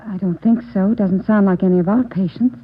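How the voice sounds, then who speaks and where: Actress as office nurse, American woman, medium pitch, courteous and a little unsure, thin vintage sound. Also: steady tone, fairly quick, breathy edge, lightly acted. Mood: puzzled, helpful. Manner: courteous, hesitant. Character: polite, slightly hesitant female doctor's office nurse. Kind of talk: 1940s-50s radio drama acting. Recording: tinny old radio recording.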